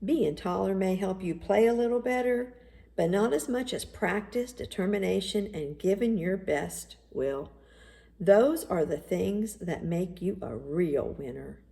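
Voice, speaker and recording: Caring storybook dad, read by a woman, gentle, encouraging, unhurried. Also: mid-range voice, expressive, vocal fry. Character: caring, encouraging father of a young boy. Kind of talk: children's storybook read-aloud.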